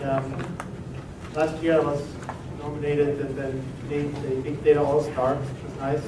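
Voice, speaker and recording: A middle-aged Swiss man with a Swiss German accent talks a meetup audience through his work in an easy, conversational way. His voice sits a little high and stays fairly level. It was captured from a distance in a reverberant room, with steady noise underneath. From Switzerland. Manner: relaxed and conversational, with frequent "uh" and "um". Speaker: presenter at a tech meetup. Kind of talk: technical talk to an audience. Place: meeting room at a company office. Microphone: room recording from a distance. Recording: echoey room from far off, with background noise.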